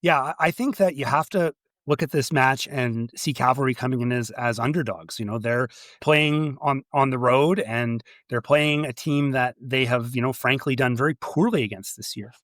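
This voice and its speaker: A man's voice: medium pitch, normal speed, a bit bright, no echo, studio quality. Hesitant man hosting a podcast. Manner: hesitant, restarts often with "uh" and "you know". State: slight head cold. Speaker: podcast host. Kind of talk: casual sports podcast chat.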